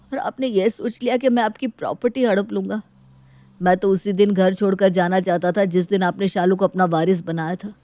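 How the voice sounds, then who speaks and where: A woman's voice: medium pitch, a little mellow, dry recording, close to an everyday voice. A woman voicing a hurt, dutiful grown son in a dramatic moral story, pleading earnestly and reproachfully.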